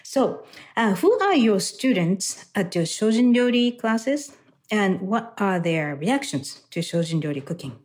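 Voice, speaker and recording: A woman's voice: mid-range voice, very animated, a little slow, darkish tone. A woman hosting an interview, chatting casually and asking questions. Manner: chatty, with fillers and restarts. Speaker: interview host. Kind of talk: conversational interview.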